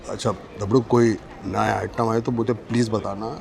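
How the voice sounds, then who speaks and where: A man's voice: on the low side, little pitch movement, moderate pace, gravelly, a crowd in the background. An actor playing a cocky, shady womaniser, brash and slangy, in a film comedy. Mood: cocky, sly. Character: shady, lecherous, cocky womanising hotel guest. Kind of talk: broad film comedy acting.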